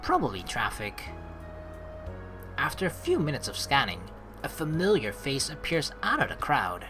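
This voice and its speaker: A woman's voice: very deep voice, huge pitch swings, normal speed. A young woman hosting a let's play, reading game narration aloud and commenting expressively in blunt, sweary language. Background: game music.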